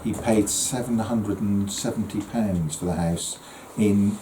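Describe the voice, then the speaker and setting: A man's voice: fairly low pitch, measured pace, background noise. A man casually guiding a group through an old house, chatty, with frequent ums and restarts. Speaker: house owner giving a guided tour. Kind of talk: casual guided tour. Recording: roomy indoor sound.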